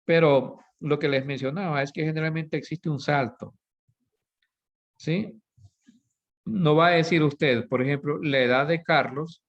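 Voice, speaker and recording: A man giving a recorded statistics lesson, explaining with everyday examples, correcting himself now and then, and asking his students "right?" after nearly every point. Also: a little high. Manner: asks "¿sí?" and "¿verdad?" constantly. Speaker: teacher. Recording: slightly dull lo-fi recording.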